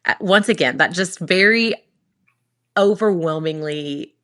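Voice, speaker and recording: Bright-voiced woman telling a lively story. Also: lowish voice. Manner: animated, quick and full of restarts. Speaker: worship singer and recording artist. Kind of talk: interview storytelling.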